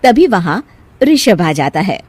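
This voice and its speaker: A woman's voice: medium pitch, big pitch swings, takes it slowly, darkish tone, slightly rough, no echo. A woman narrating a scripted story as its storyteller.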